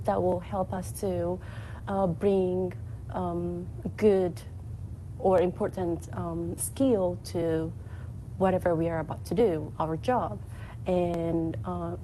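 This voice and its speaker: A woman from Ethiopia speaking in a slow, halting way with many fillers, talking freely at a work event. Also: fairly low pitch, warm tone, slight background noise, low-quality recording. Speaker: panel speaker. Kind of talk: unscripted talk at a work event.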